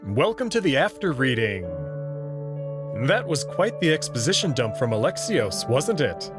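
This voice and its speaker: A man reading a visual novel aloud as its narrator, in an expressive storytelling style. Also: on the high side, moderate pace, over music. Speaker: narrator and reader.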